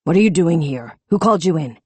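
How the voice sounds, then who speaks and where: A curt, authoritative middle-aged police chief who gives clipped orders, read by a woman with a low voice. The recording is dry and close, typical of a studio audiobook. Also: lively intonation, fast talker, mellow, breathy edge, no echo. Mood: stern.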